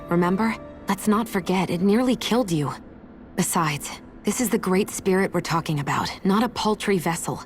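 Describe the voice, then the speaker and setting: An actress playing a cool-headed, skeptical young heroine in a video game, speaking in a measured, pointed, serious way. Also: female voice, fairly low pitch, close to an everyday voice.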